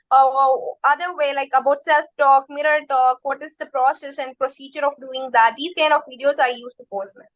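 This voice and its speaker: A woman's voice: high voice, a bit bright, poor audio. A young woman with a Tamil accent, a Tamil native speaker, answering interview questions politely and hesitantly, often saying ma'am. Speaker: English learner and YouTuber, interviewee. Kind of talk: conversational interview.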